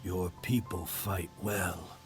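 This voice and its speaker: An actor playing a dignified, solemn Inca leader who protects his people in a video game, speaking deliberately. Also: low-pitched, fairly even intonation, over music. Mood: grave. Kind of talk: cinematic video game voice acting.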